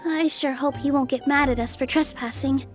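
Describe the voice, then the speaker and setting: An actress playing a gentle, polite and earnest young woman in a video game. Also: sits high, steady tone, normal speed, music in the background, natural voice. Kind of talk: English video game voice acting.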